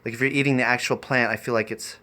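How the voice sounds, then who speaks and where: A man chatting off the cuff on a livestream, rambling and halting in an eccentric way. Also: medium pitch, fairly even intonation, sharp tone, slight background noise. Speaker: live streamer and variety performer. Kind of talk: improvised livestream chat.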